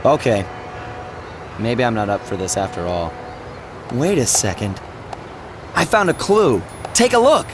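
A man playing a headstrong, earnest young trainee secret agent in an animated video game. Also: mid-range voice, measured pace, pauses often, noisy background.

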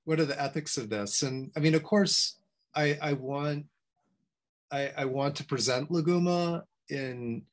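A man's voice: fairly high pitch, measured pace. A middle-aged man in an academic discussion on a video call, speaking reflectively and hesitantly, often restarting phrases. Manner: reflective, hesitant, repeating himself. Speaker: guest scholar. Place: small home study, indoors. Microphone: video call, computer mic.

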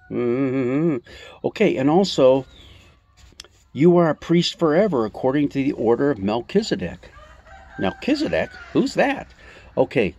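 A man in his sixties giving an informal Bible talk, explaining scripture in halting, emphatic phrases with rhetorical questions. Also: medium pitch, a little mellow, breathy edge. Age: 60s. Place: outdoors on a covered porch among greenery. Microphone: phone or camera at arm's length.

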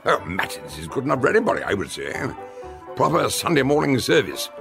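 A gravelly, blustering old colonel. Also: male voice, medium pitch, sing-song, brisk, over music. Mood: grumbling.

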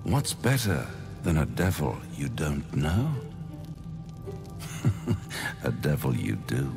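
Very deep, silky devil. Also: male voice, sing-song, gravelly, over music, heavily acted. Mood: theatrical, menacing. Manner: grandiloquent, silky, drawn-out delivery. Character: suave, theatrical devil, mocking and menacing. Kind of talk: video game dramatic acting.

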